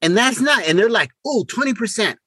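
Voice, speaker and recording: A man's voice: very high voice, animated, fairly quick, pushed and bright, slightly rough, no room sound, clean studio sound. An older man co-hosting a casual podcast chat, stammering and repeating words, often exclaiming. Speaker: podcast co-host.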